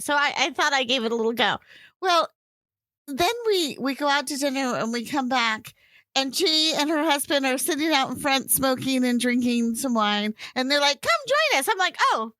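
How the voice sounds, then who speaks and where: Animated woman host, higher voice, dry sound. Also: normal speed, no echo. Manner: animated storyteller, many fillers. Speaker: podcast host. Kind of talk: casual podcast chat.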